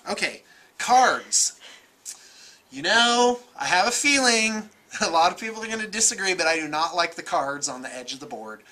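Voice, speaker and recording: Bright-voiced American man, casual vlogger. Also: sits high, moderate pace. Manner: animated, gesturing, conversational, self-qualifying. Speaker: vlogger, miniatures shop owner. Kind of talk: casual off-the-cuff opinion talk. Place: indoor room with bookshelves.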